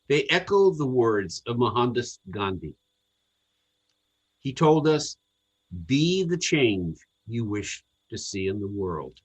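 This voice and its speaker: A man reading a scripted sermon to a congregation in a measured, earnest, deliberate way. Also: medium pitch, fairly bright tone, slightly rough, rough recording. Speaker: congregational service speaker.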